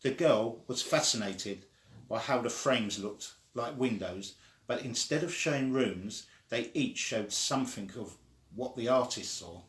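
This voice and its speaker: A man reading a children's story aloud in a deliberate, simply phrased way. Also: mid-range voice, fairly bright tone. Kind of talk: slow read-aloud storytelling. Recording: echoey room.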